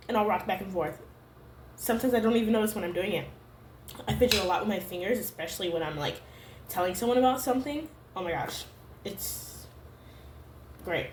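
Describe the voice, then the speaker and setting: Young woman, hesitant halting talk, lots of fillers, mid-pitched voice, roomy echo, filmed at home on camera. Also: a little hiss or hum. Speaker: vlogger. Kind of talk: personal confessional vlog. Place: room at home.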